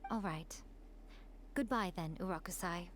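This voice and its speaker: A woman's voice: on the low side, measured pace, a little hiss or hum, natural voice. An actress voicing a centuries-old female fox spirit priestess in a video game, composed, coy and self-assured as she teases. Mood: fond, assured.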